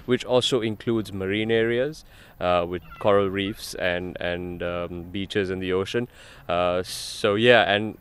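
A man's voice: low voice, clean tone. A man with a Sri Lankan accent giving conversational answers as an ecotourism guide company's interview guest.

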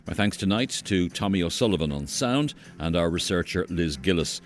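A man with an Irish accent hosting a radio history show, measured and wry as he interviews a guest and signs off. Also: sits low, animated, soft background music. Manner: measured, wry, good-humoured.

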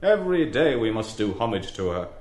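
A man's voice: fairly low pitch, very animated, a little fast, a little mellow. An actor playing an exuberant, mocking young man who declaims in mock-grand style. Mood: rattling. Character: mocking, boisterous young man, theatrical jester. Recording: roomy echo.

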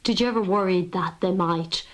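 A woman interviewer asking gentle, probing questions in a radio documentary. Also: lowish voice, moderate pace.

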